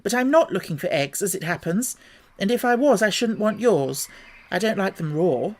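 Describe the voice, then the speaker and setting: A man's voice: high-pitched, animated, normal speed, pitched above the performer's own voice. A man voicing a polite, truthful little girl who talks earnestly. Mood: protesting.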